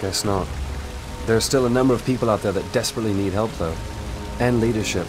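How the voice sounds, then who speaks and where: An actor playing a level-headed advisor and ally in a video game cutscene, earnest and matter-of-fact. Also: male voice, fairly low pitch, fast talker, music in the background, close to an everyday voice. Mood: calm, practical.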